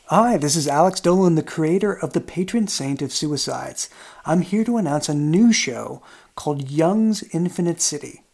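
Mid-pitched show creator reading an announcement. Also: animated, normal speed, gravelly, darkish tone. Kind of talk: scripted promotional narration.

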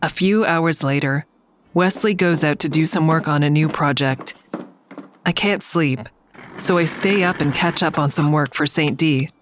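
Low-voiced, guarded heroine. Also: female voice, unhurried, a little hiss or hum. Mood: tense, confiding. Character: guarded, defiant woman narrating her own troubles. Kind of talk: first-person audio drama narration and dialogue. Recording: faint music under the voice.

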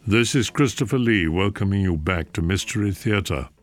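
A man hosting and narrating an old-time radio drama in a slow, measured, ominous manner, on a lo-fi 1970s radio recording. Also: on the low side.

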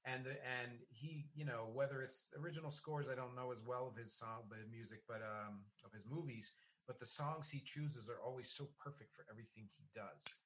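Bright, forceful man talking analytically. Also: medium pitch, steady tone, a little fast, hardly any pauses, slight background noise. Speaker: podcast host. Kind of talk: conversational interview about music and film.

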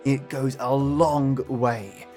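A man's voice: medium pitch, steady tone, takes it slowly, a little mellow. A man hosting a podcast, giving casual, friendly commentary straight to his listeners. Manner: chatty, friendly address to listeners. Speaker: podcast host. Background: music.

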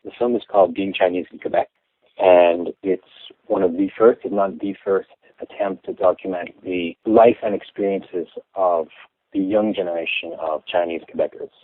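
A young Canadian man answering interview questions in a measured, explanatory way, with some restarts. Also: low-pitched, creaky, no room sound. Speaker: interview guest. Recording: tinny phone line.

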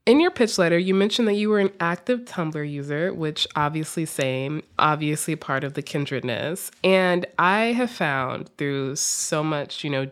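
A woman hosting a podcast in casual chat, effusive and wry. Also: sits low. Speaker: podcast host.

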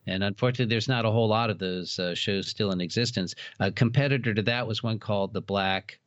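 A man's voice: on the low side, quick pace, no room sound. A man speaking as a podcast guest, chatting casually and knowledgeably like an expert on his subject. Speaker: podcast guest, old-time radio horror expert. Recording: dull lo-fi, dry sound.

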